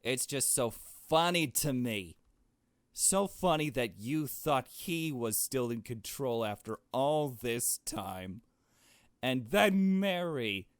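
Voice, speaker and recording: A man's voice: medium pitch, expressive, no room sound, clean studio sound. A man voicing a manic, menacing young female villain in a visual novel, taunting and foul-mouthed. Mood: mocking glee. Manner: taunting, drawn-out mocking delivery. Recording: music under the voice.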